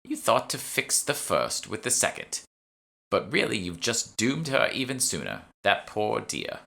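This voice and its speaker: A man's voice: on the low side, wildly swooping pitch, unhurried, vocal fry, bright, forceful tone, pitched above the performer's own voice, heavily acted. Man voicing a prickly, wry elderly shopwoman. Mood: knowing, disapproving. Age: older. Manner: grudging, sardonic. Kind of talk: audiobook character dialogue.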